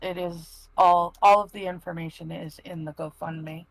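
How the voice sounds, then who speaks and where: A woman speaking casually as a live stream panel member, halting and plain-spoken. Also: lowish voice, steady tone, gravelly, no room sound, rough recording.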